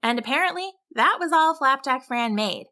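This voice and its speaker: A woman reading a scripted children's story as a storyteller, with an expressive, deliberate delivery. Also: high voice. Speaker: storyteller, podcast host.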